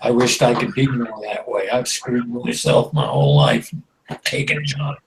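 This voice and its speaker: A man's voice: mid-range voice, big pitch swings, normal speed, creaky, a little hiss or hum, low-quality recording. A man in his late fifties on a casual online video hangout, talking in a laid-back, wry way. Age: 50s.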